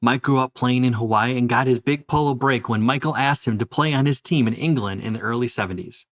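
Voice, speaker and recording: A man's voice: mid-range voice, a little fast, fairly bright tone. A man hosting an interview podcast, speaking as a presenter introducing and talking with a guest. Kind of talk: scripted introduction, then conversational interview.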